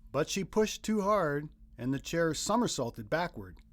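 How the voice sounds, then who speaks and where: A man reading a children's picture book aloud as narrator, in expressive scripted storytelling. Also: fairly high pitch, a little slow.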